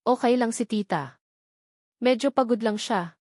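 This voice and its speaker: Serious, protective romantic lead man, voiced by a female storyteller, calm and firm, dry recording. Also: a little high, a little slow, no room sound. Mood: gentle, reassuring. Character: steady, caring, serious man in love story. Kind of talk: dramatic storytelling dialogue.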